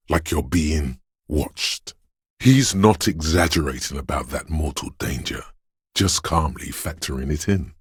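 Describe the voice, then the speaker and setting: The dark inner voice of raw nerves and fear, narrating a video game in the second person. The register is extremely low and unhurried, heavy with rasp and gravel. The delivery is ominous, brooding and deliberate, with a menacing, foul-mouthed edge. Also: male voice, dry recording, clean studio sound, heavily acted. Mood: simmering, suspicious. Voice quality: very deep, gravelly, husky.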